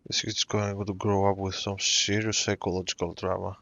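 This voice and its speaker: Casual gamer commentator, low and sharp-toned, very dry recording. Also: flat delivery, normal speed, no echo, muffled recording. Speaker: gamer reacting to a story quest.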